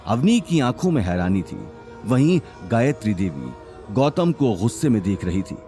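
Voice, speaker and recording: A man's voice: medium pitch, sing-song, moderate pace, mellow, faint music behind. A man working as narrator, reading a scripted dramatic story.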